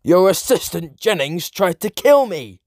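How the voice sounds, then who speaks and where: A shaken, earnest man marked for murder, as a male narrator acts him speaks in a raised pitch. He exclaims in comic-book audiobook fashion over background music. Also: sing-song, measured pace, a little mellow, no room sound, clean studio sound, heavily acted. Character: frightened, grateful male intended murder victim.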